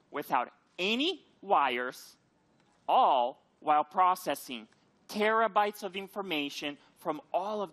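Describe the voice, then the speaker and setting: A man giving a keynote product presentation on stage, speaking in emphatic, deliberate short phrases. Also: high voice, a bit bright. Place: large auditorium stage with seated audience.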